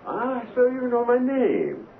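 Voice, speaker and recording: Scheming, polite villain, high and extremely animated intonation, vintage radio drama. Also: male voice, slow, phone-quality sound, full character voice. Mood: suave, menacing. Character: smooth, scheming villainous henchman offering a bribe. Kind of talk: 1970s radio drama acting. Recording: thin, noisy old radio broadcast.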